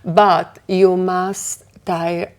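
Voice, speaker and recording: A Slovak woman, a fashion designer and author, speaking English as a second language with a Slovak accent, pausing often with many fillers in a community TV interview. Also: fairly low pitch, level tone. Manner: halting, many fillers and pauses, simple English.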